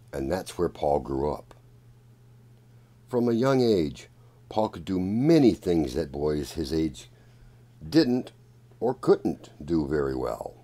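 Male children's story narrator, unhurried and deliberate, with lively swings in pitch. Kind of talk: read-aloud storybook narration.

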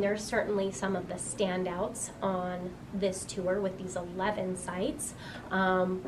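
A young woman speaks as a museum director, earnest and informative, with many "um"s. Her voice sits at a middle pitch. She is picked up from a few feet away, so her voice is a little distant, and a steady hum of room noise runs underneath. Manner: earnest and informative, with frequent "um" fillers. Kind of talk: informal on-camera explanation to a visitor. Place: small museum room indoors. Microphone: camera a few feet away.

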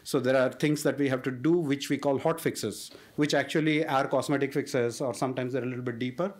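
A young man speaks from a conference stage in an easygoing, joking way, leaning on fillers like "uh" and "like". His voice sits at an ordinary middle pitch, and he presents technical material casually rather than formally. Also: fairly even intonation, a little fast. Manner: casual, self-deprecating humor with frequent fillers.

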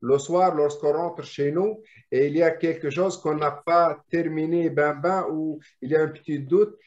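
A man's voice: on the high side, fairly even intonation, measured pace, darkish tone. A man whose first language is Arabic, a draftsman guest explaining his work on a video call with many restarts and repeated words. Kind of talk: casual video call discussion. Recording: muffled lo-fi call audio.

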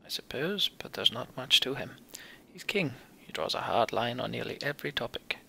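Man voicing a bright, earnest young prince. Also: mid-range voice, lively intonation, moderate pace, creaky, a little hiss or hum, heavily acted. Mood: resigned.

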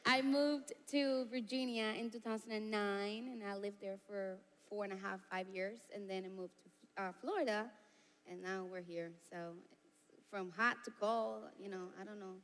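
A woman with a Puerto Rican accent talks in a relaxed way and laughs easily. Her voice sits in the middle of the female range. It rings with a long reverb from a large church hall. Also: mid-range voice, moderate pace. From Puerto Rico. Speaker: worship team singer, guest. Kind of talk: casual interview chat.

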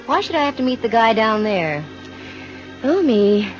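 A woman's voice: fairly high pitch, sing-song, unhurried, music in the background. An actress playing a witty, flirtatious female private detective in a 1950s radio drama, with a dry, wisecracking delivery. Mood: wry narrating. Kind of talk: 1950s radio drama acting and first-person narration. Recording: muffled, noisy 1950s radio recording.

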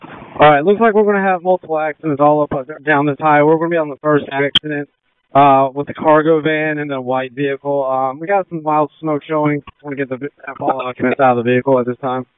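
Clear-voiced male responder on dull radio. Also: fairly high pitch, steady tone. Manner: halting, businesslike. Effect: radio transmission. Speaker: emergency responder at scene. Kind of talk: operational radio traffic. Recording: dry, dull two-way radio audio.

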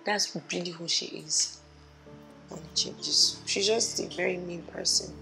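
An actress playing a bitter, disapproving house helper in a film drama. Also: female voice, on the low side, steady tone, measured pace, creaky, sharp tone, heavily acted. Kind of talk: low-budget film drama acting. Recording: music over dialogue.

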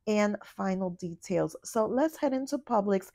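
A young woman with a raspy, fading voice after a cold, giving a casual voiceover for her couponing shopping vlog. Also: medium pitch, expressive, measured pace, warm tone, dry recording, studio quality. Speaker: couponing vlogger. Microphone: voiceover mic.

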